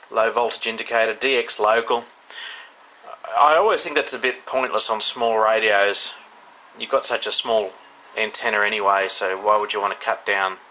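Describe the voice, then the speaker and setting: Australian man, very bright forward voice, casual reviewer, halting delivery, thinking aloud, faint background noise. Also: fairly low pitch, poor audio. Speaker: product reviewer. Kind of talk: casual unscripted hands-on demonstration.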